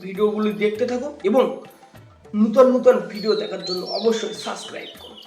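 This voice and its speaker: A man's voice: high-pitched, fairly quick. A young man, addressing viewers as a vlog host. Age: young adult. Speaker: vlogger and channel host. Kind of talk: scripted-sounding vlog intro and outro to viewers. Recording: roomy echo with loud music. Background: music.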